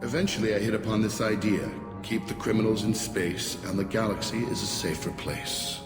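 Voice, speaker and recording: A man playing a stern, self-righteous alien prison warden in a video game, measured and authoritative. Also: lowish voice, steady tone, close to an everyday voice. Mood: stern, controlled. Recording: very echoey cavernous hall, loud music.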